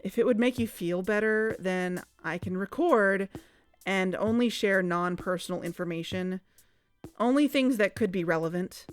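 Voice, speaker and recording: A woman acting a guarded, thoughtful young investigator in a naturalistic audio drama, speaking in a measured, hesitant, introspective way. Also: medium pitch, smooth, soft background music, natural voice. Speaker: podcast narrator and lead character. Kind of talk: naturalistic audio drama, reflective narration and dialogue.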